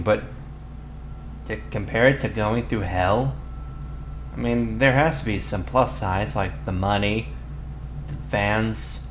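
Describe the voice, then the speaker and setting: A flustered teenage bully boy, acted by a man in an ASMR boyfriend roleplay. His voice sits on the low side, and he talks casually and haltingly, defensive yet sheepish. The sound is roomy, with clear background noise. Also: fairly bright tone. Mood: curious.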